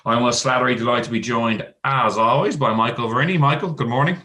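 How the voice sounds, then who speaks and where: A man with an Irish accent hosting a sports podcast over a video call, presenting in a brisk, upbeat way. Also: medium pitch, sharp tone. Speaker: podcast host. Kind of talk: casual sports chat. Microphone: webcam video call.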